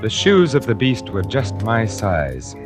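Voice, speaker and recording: A cultured, sinister painter, low-key suspense. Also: male voice, huge pitch swings. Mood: brooding, sinister. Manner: refined, theatrical, deliberate and condescending. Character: cultured, scheming male painter covering up a murder. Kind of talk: 1940s radio drama acting, first-person suspense narration. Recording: vintage radio broadcast with music.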